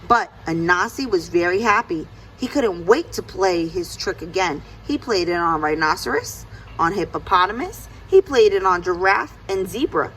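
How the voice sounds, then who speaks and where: A woman narrating a children's story aloud in an expressive storytelling style. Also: lowish voice, a little hiss or hum, low-quality recording. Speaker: storybook reader.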